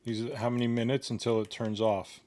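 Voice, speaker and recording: A man's voice: mid-range voice, fairly even intonation, fairly quick, a little mellow. A man giving a casual hands-on product tutorial in a plain, step-by-step instructional way. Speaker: product reviewer demonstrating a gadget.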